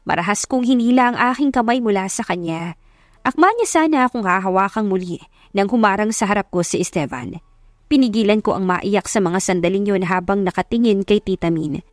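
A woman's voice: medium pitch, expressive, moderate pace, no echo, studio quality. A woman narrator reading a scripted first-person love story as a dramatic audiobook.

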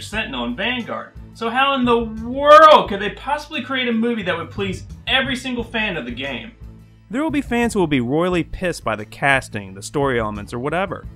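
A man's voice: on the high side, bright, forceful tone. A man in his thirties hosting a scripted video essay as a critic, sardonic and emphatic in his opinions. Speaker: video game movie critic, show host. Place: small furnished bedroom, indoors.

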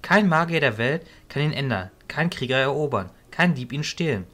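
Man playing a calm, wise illusionist mentor. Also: medium pitch. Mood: wise, instructive. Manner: measured, sage, didactic.

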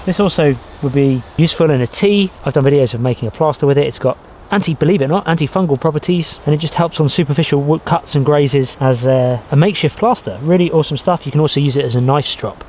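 A man's voice: fairly high pitch, quick pace, a little mellow, slight background noise. Man explaining bushcraft in the woods. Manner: explains while working with his hands, restarts often. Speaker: bushcraft vlogger. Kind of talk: casual outdoor how-to narration. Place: outdoors in a pine forest.

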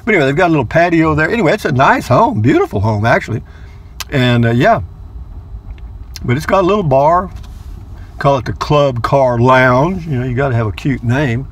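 A man's voice: mid-range voice, animated, fairly quick. An older man, narrating a casual vlog from inside his car, chatty and rambling, repeating words playfully. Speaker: vlogger.

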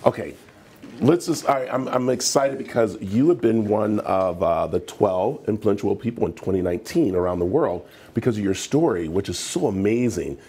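A middle-aged male TV host with a low, mellow, slightly husky voice, chatty and enthusiastic. He talks on a studio set through a lapel mic. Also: moderate pace. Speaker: TV morning show host. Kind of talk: morning TV interview.

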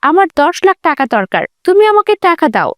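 A spoiled young rich sister from a cartoon morality tale, acted by a woman, with a very high, swooping voice. She is scornful and pushy, scolding everyone in a haughty tone. The sound is close and dry. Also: very animated, brisk, fairly bright tone, no room sound, full character voice. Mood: haughty demanding. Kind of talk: melodramatic cartoon story dubbing.

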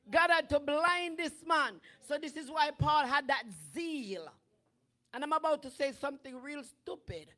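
A middle-aged woman preacher delivering a sermon from a church stage into a handheld microphone, rising from chatty storytelling to shouting. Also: high-pitched. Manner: animated preaching that builds to shouting. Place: church sanctuary with draped stage.

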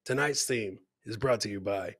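A man's voice: on the low side, normal speed, a bit bright, husky, no echo, studio quality. A middle-aged man doing casual, jokey live commentary on video clips as a comedian host, often repeating his catchphrases. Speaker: comedian hosting a livestream clip show.

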